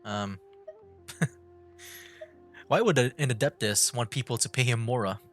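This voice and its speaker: A man's voice: mid-range voice, unhurried, soft background music. A man streaming a game, commenting casually and thinking aloud with a few chuckles. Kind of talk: casual live reaction commentary.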